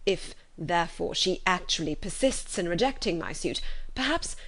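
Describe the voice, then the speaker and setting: A woman's voice: fairly low pitch, expressive, normal speed, fairly bright tone. Lady doing a pompous self-satisfied young gent from an old novel, stiff, wordy, lilting. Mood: stiffly offended.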